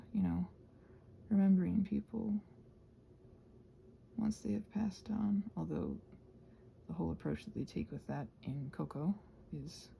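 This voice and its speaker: A soft-spoken woman chatting casually in an ASMR craft ramble, often slipping into whispers as she hesitantly thinks aloud. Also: mid-range voice, gravelly, highs cut off, a little hiss or hum. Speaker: host of a craft ramble channel. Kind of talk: casual rambling chat while painting, ASMR.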